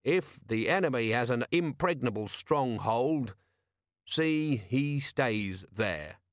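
A man performs a male commander of the watch for an audiobook. He is weary and sardonic, speaking in a dry, understated way at a middling pitch. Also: expressive, slow pace, no echo.

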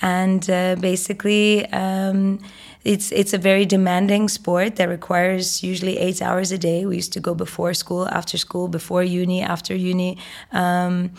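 Slightly low-voiced woman explaining at length. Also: monotone, moderate pace, vocal fry. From Egypt. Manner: fluent, explanatory, with frequent um and uh. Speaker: guest, fitness educator. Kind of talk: interview conversation.

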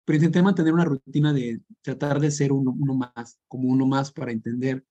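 A Mexican man who lives in northern England, chatting thoughtfully as a podcast guest with lots of pauses and fillers. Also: medium pitch, fairly even intonation, mellow, muffled recording. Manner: thoughtful, hesitant, many fillers. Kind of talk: casual conversational chat.

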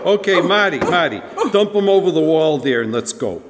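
A man's voice: a little high, big pitch swings, normal speed. A man playing a menacing, mocking gangster in an old-time radio drama. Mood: curt, threatening. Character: menacing, mocking gangster running a protection racket. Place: church hall, live performance. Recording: noisy, reverberant hall stage recording.

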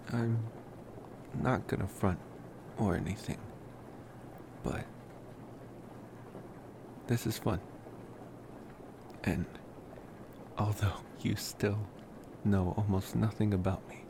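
A man playing a slow-talking, tender vampire boyfriend. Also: lowish voice, noisy background. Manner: soft, hesitant, affectionate, with sighs and pauses. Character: tender, smitten young male college vampire boyfriend. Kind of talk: intimate ASMR roleplay.

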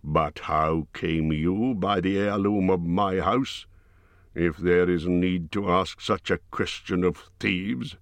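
A man voicing a proud, wrathful male dwarf king, speaking slowly and forcefully. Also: low voice, no echo. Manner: slow, stern, forceful delivery.

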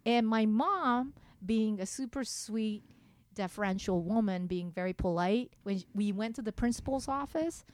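A woman's voice: medium pitch, moderate pace, darkish tone. Playful woman, Japanese native speaker. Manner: playful, laughs while telling stories; chatty storyteller with many fillers and restarts. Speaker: podcast guest. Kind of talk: casual interview chat.

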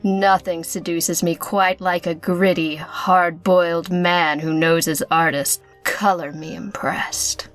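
A woman's voice: fairly low pitch, fairly even intonation, takes it slowly, few pauses, faint music behind. Woman acting a sultry, teasing noir femme fatale. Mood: seductive. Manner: seductive, teasing. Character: sultry, wealthy femme fatale artist, flirtatious and sinister. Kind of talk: noir radio-play acting.

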